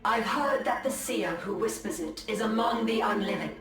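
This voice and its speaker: A woman's voice: mid-range voice, normal speed, fairly bright tone, close to an everyday voice. A woman playing a resolute, wary alien seer in a video game, speaking formally and solemnly. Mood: wary, probing. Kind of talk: dramatic video game cutscene acting. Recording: echoey and distant, music behind.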